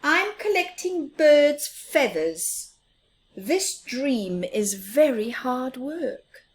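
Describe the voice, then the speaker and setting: Woman voicing an eager little boy mouse, high-pitched. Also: sing-song, extremely slow, full character voice. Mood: busy, self-important. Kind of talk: children's picture-book character voice.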